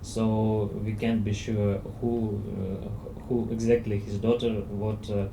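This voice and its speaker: A lower-voiced man with a Russian accent speaks English haltingly, searching for his words. He speaks slowly, heard from afar in an echoey cinema auditorium with steady noise behind him. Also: vocal fry. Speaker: discussion club participant. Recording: echoey hall, distant, noisy.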